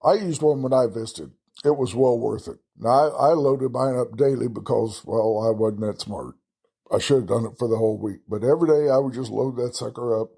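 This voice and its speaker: A man's voice: medium pitch, brisk, vocal fry, mellow, breathy edge. A man with a Texan accent hosting a travel podcast, talking casually and folksily with chatty self-deprecating asides. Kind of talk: casual solo travel talk.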